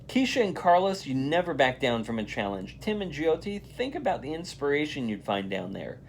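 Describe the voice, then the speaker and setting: A reader acting out an adventurous schoolgirl from a children's storybook, eager and persuasive. The voice swings in pitch over faint background noise. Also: big pitch swings, moderate pace, creaky. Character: enthusiastic adventurous girl student, eager to explore.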